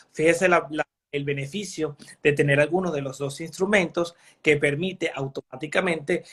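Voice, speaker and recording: Man, journalist, long winding questions, hesitant, somewhat high voice. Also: husky. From Venezuela. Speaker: journalist interviewer. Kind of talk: interview questions.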